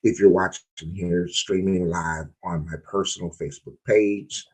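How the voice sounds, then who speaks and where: A man with a very low, hoarse and raspy voice hosts a live stream. He kicks off with loud, stretched-out hype, then drifts into relaxed, rambling talk to his viewers. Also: unhurried, poor audio. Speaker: podcast host.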